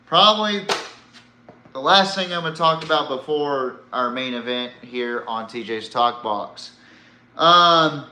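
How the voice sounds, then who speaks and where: A man's voice: mid-range voice, sharp tone, roomy sound, slight background noise. A man hosting a sports podcast, giving casual, opinionated commentary in a blunt way, swearing and throwing out rhetorical questions.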